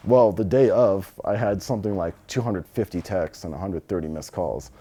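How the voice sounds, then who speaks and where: A man's voice: deep voice, normal speed, mellow. A man in his twenties with an American accent, answering interview questions casually in blunt, slangy speech with some swearing. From Los Angeles, United States. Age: 20s. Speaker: interviewee, business owner.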